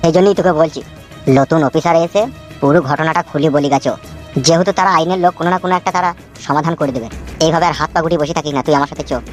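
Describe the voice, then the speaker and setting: A man's voice: on the high side, racing, faint music behind, lightly acted. A man voicing a scheming know-it-all young boss in a comedy cartoon, explaining and persuading.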